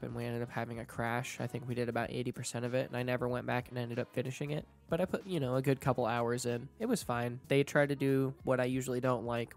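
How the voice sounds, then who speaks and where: A young man with a stuffy nose from allergies, giving a casual, candid rant about a video game and swearing freely. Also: medium pitch. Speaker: gaming YouTuber.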